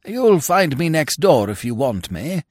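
A man's voice: on the high side, very animated, measured pace, a little mellow, dry recording, studio quality, pitched above the performer's own voice. A man voicing an amiable, vague older English lord in an audiobook, chatty and rambling in short genial remarks. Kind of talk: audiobook character voice.

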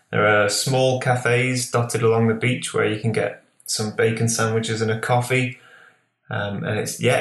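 A man's voice: fairly low pitch, a bit bright, reverberant. A young man from Lancashire, answering interview questions in an easygoing way with lots of fillers. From Lancashire, northwest England. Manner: easygoing, filler-heavy, repeats words. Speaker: guest photographer.

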